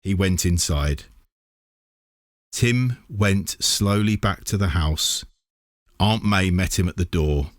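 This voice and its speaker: Male children's book reader, deep pitch, slow pace, scripted storybook narration. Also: dry recording, studio quality.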